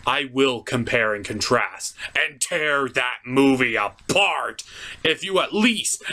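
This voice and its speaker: A man's voice: a little high, gravelly, bright, forceful tone. A man hosting a video review, giving enthusiastic, theatrical commentary with emphatic, stretched-out words.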